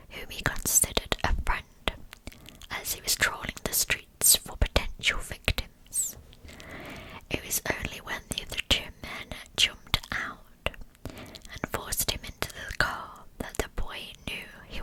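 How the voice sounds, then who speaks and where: Slow whispering woman, ASMR narrator. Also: rough recording. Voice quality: unvoiced, breathy whisper. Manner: slow, hushed, deliberate storytelling. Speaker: ASMR true-crime narrator.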